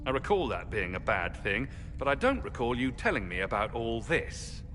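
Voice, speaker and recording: A man's voice: medium pitch, very animated, moderate pace, a bit bright, a little hiss or hum. An actor playing a wry, outspoken male adventurer in a video game, with pointed delivery. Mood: wry, concerned. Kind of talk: dramatic video game dialogue. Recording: background music under the voice.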